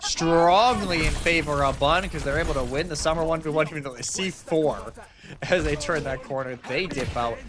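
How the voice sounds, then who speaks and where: A man's voice: a little high, normal speed, a crowd in the background. A man giving live esports commentary and analysis in a conversational, explanatory way.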